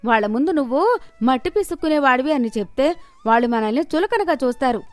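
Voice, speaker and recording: A woman's voice: sits high, expressive, fast talker, no echo. A woman voicing a pretentious, scheming middle-aged village wife in a cartoon moral story, haughty and fussy. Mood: scolding. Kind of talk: animated moral story dialogue.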